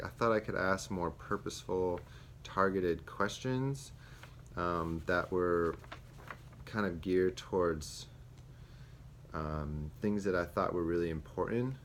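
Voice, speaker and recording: A young man, a student teacher, reflecting aloud on a lesson he taught, with many pauses and fillers. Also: deep voice. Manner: hesitant, many ums and restarts. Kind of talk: spoken self-reflection. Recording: faint background noise.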